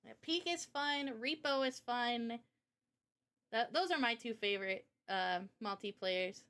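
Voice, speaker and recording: A woman with a fairly high voice talks casually as a livestreamer. Her delivery is chatty and informal, full of everyday slang. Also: measured pace, a bit bright, smooth. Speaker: live streamer and indie game developer.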